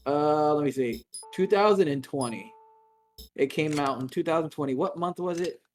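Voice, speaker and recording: A man in his thirties streaming a game and chatting with friends, excitable and quick to swear and laugh. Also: fairly high pitch, faint music behind. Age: 30s. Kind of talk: casual gaming stream chat. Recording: game noise clearly behind.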